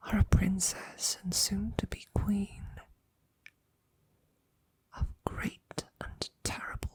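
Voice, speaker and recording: A woman playing a devoted, tender and teasing female knight in intimate ASMR roleplay, speaking softly and haltingly, with affection, in a breathy, often whispered voice. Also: mid-range voice, full character voice. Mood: teasing, flirtatious.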